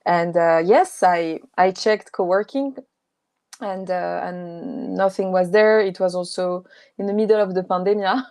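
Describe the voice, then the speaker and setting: A woman's voice: fairly low pitch, measured pace, no room sound. A woman from North Africa whose first language is Kabyle, guesting on a podcast, talking with fillers and frequent laughs. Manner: warm, laughs often, hesitant with fillers. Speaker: guest, co-living space manager. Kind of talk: casual interview chat.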